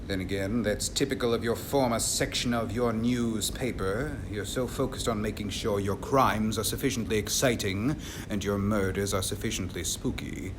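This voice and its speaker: A menacing man of secrets played by an actor in an old-time radio drama. His lowish voice is haughty, articulate and ominous, set against clearly audible rumbling noise. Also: close to an everyday voice. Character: menacing, condescending, tall mysterious man of secrets. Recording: clearly noisy, rumbling background.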